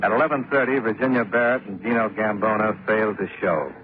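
A man's voice: fairly low pitch, quick pace, lightly acted. An actor playing a wry, tough private investigator who narrates his own case in a clipped, hard-boiled 1950s radio drama style. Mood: matter-of-fact. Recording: old radio recording, narrow band, music behind.

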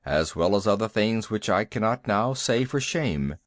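A man voicing a quick-witted, courteous yet commanding panther in a storybook, with formal archaic phrasing. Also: on the low side, lively intonation, no echo, studio quality, pitched below the performer's own voice, natural voice. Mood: sly.

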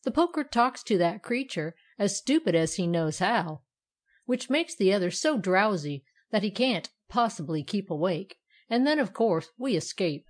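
A woman voicing a lively, teasing male andiron creature in a children's storybook, brisk and exclamatory. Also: medium pitch. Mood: confiding.

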